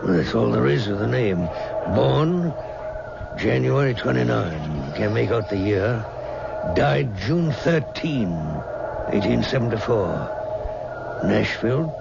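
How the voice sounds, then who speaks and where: An actor playing a matter-of-fact deputy in a 1970s radio drama, with a gravelly voice. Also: male voice, medium pitch, expressive, slow pace, loud music behind the voice, exaggerated voice. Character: gruff deputy investigating a graveyard murder. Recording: dull, noisy old radio recording.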